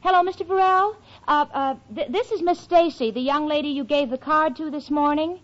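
Actress doing a eager sensible young roommate gal, bit wry, high voice, old muffled radio sitcom. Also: female voice, brisk, close to an everyday voice. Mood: flustered, polite. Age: young adult. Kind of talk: 1940s radio comedy acting. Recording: dull vintage radio recording.

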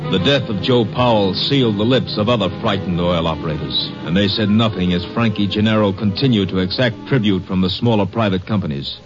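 Old time radio narrator guy, lowish voice, dramatic, muffled recording w music. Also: fairly quick, hardly any pauses.

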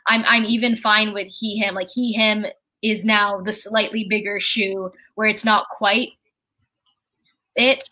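A person with a very high speaking voice, chatting casually as a guest at home, rambling and halting with lots of fillers and restarts. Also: female voice, level tone, sharp tone, low-quality recording. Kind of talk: casual chat. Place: at home with a candle lit.

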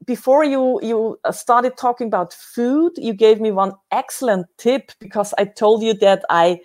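A woman's voice: on the high side, moderate pace, a little mellow. A woman hosting a casual conversational interview in a chatty, effusive way.

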